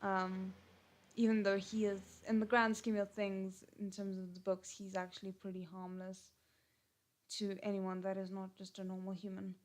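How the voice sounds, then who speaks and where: A woman's voice: medium pitch, monotone, moderate pace. A woman with a South African accent chatting casually on a livestream, rambling with frequent restarts and fillers. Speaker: channel host.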